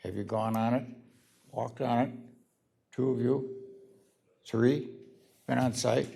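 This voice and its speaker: A man giving a halting, pointed public comment at a city meeting, speaking into a podium microphone in a council chamber. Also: medium pitch, fairly even intonation, gravelly, warm tone, breathy edge.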